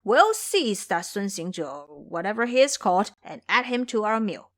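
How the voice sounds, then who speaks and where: Woman playing a deferential tiger officer, animated. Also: medium pitch, normal speed, close to an everyday voice. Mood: eager, reassuring. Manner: deferential, reassuring. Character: tiger vanguard, humble but eager male monster officer.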